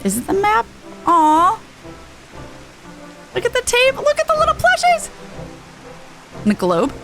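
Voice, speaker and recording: High, lively woman streaming a game. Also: measured pace, soft background music, low-quality recording. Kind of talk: casual playthrough commentary.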